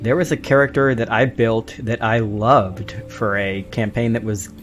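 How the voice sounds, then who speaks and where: A man's voice: fairly low pitch, fairly even intonation, normal speed, faint music behind. A man talking casually as a panelist on a podcast discussion among friends.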